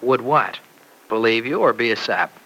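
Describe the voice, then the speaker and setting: Radio serial role: loyal, defensive young American man, forceful, animated, colloquial. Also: medium pitch, normal speed, breathy edge. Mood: blunt, defensive. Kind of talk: old-time radio serial acting. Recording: noisy old radio broadcast recording.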